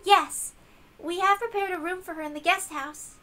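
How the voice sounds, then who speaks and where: A young servant girl voiced by a woman speaks in a high, bright tone. She is formal, gentle and a little hesitant, always deferring to those she serves. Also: moderate pace. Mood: polite. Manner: deferential, soft-spoken, hesitant.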